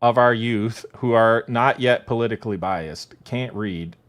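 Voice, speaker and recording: A man co-hosting a casual political podcast, sarcastic and quick to laugh as he reads comments aloud. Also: fairly bright tone. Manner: sarcastic, laughing, big swings in pitch. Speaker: podcast co-host.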